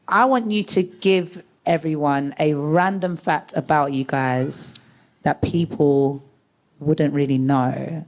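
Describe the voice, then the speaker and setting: A woman's voice: sits low, darkish tone. A woman hosting a live panel show, upbeat and enthusiastic as she introduces the panelists. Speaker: host. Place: live event with audience.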